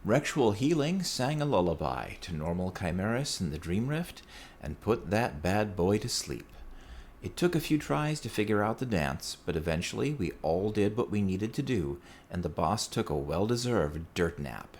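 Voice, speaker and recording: A man's voice: lowish voice, sing-song, moderate pace, darkish tone. A man giving a prepared, playful report as a listener contributing to a gaming podcast, with some noise behind him. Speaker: listener sending in a recorded report. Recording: noticeable background noise.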